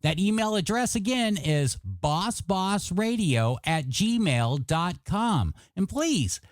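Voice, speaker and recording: Animated, high-pitched male radio DJ. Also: slow pace, no room sound, studio quality. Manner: jokey, punchy announcer delivery. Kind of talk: classic hits radio DJ patter. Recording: radio aircheck with music underneath. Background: music.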